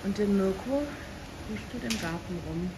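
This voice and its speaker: A woman's voice: medium pitch, moderate pace, noisy background. A woman in her thirties talking casually to her phone camera at arm's length, chatty and at times indignant. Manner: chatty, indignant when complaining. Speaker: vlogger. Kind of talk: casual vlog talk to camera. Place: indoors at home and in shops, some outdoors.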